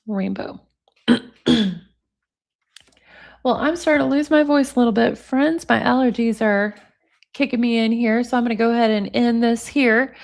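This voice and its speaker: A woman in her forties hosting a livestream. She reads text messages aloud and chats with viewers in the comments. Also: on the high side. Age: 40s. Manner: chatty, talks to viewers in the comments. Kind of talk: casual livestream chat, reading texts aloud.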